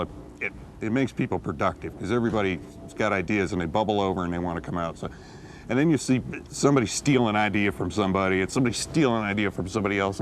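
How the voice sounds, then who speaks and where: Rambling low-voiced man, documentary interview. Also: slight background noise. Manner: rambling, restarts mid-sentence. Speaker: gallery founder.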